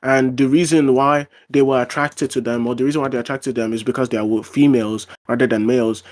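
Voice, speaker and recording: A man's voice: medium pitch, steady tone, quick pace, darkish tone. A man in a casual online debate, arguing his point with frequent fillers and restarts. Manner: argumentative, lots of fillers and restarts.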